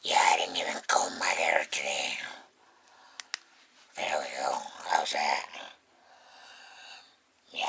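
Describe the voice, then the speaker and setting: A vlogger with a very husky, breathy, gravelly voice that often drops near a whisper, chatting casually and rambling along at home. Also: extremely low pitch, bright, forceful tone. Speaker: vlogger, host of the channel. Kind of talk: casual unboxing vlog chat. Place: indoors at home.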